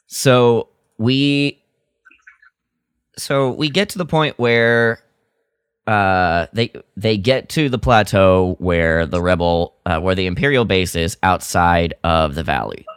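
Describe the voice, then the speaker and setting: A man's voice: low voice, slow, clear, resonant tone, no echo, studio quality. A man co-hosting a podcast in casual review chat, exasperated and critical, slipping into mock quotes as he goes. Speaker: podcast co-host.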